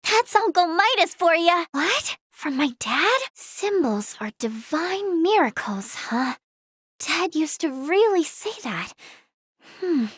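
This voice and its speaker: A woman playing an eager, kind-hearted young female healer in a fantasy video game, earnest, expressive and girlish in manner. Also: very high voice, slow pace, dry recording, clean studio sound, full character voice. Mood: impressed. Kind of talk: video game character acting.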